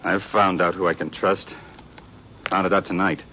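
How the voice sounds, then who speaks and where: An actor playing a stubborn, hard-bitten gunfighter in a radio western, terse and folksy. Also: male voice, sits low, flat delivery, normal speed, bright, forceful tone, dull audio, a little hiss or hum. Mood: grim, resolute. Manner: terse, folksy rural speech. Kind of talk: 1950s radio western acting.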